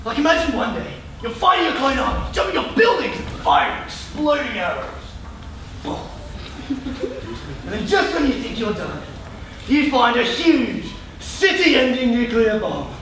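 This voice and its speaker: A man's voice: very high voice, very animated, measured pace, a bit bright, heavily acted. A man on stage playing a beleaguered superhero archer, an ordinary bloke telling his story with frantic, exasperated drama. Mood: world-weary, dramatic. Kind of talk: live comedic stage acting. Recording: echoey noisy hall from far off.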